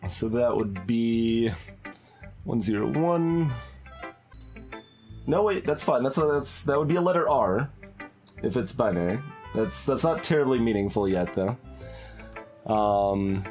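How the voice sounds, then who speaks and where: Music plays behind a male streamer with a mid-pitched voice. He comments casually on his game, musing aloud and often hesitating as he works things through. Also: expressive, measured pace, pauses often, darkish tone. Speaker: gaming streamer. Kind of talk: casual live playthrough commentary.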